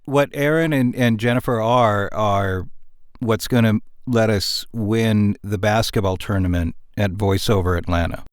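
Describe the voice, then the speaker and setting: Lower-voiced man in casual chat. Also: measured pace, smooth, no room sound, clean studio sound.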